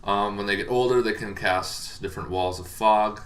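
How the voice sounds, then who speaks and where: A man's voice: fairly low pitch, steady tone, normal speed, fairly bright tone. A man giving a casual, unscripted explainer as a dungeon master, talking in a loose, rambling and hesitant way. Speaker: dungeon master explaining tabletop monster lore. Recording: faint background noise.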